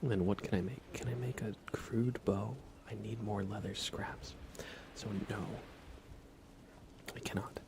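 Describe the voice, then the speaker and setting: A man softly thinking aloud in an unhurried, whispered ASMR style as he narrates a video game livestream. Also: fairly low pitch, steady tone, vocal fry, noisy background. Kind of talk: ASMR gaming commentary, whispered and soft-spoken.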